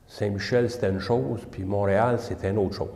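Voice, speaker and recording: An older man with a Quebec French accent, recalling his childhood in a relaxed, hesitant way. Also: lowish voice, vocal fry. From Quebec, Canada. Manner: relaxed, hesitant, many pauses. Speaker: interviewee. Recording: echoey room with long reverb.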